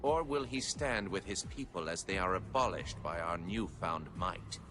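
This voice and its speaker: An actor playing a severe, pious alien scribe, narrating video game lore in a formal, ceremonious, foreboding manner. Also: male voice, sits low, normal speed, over music, lightly acted. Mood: grave, disapproving.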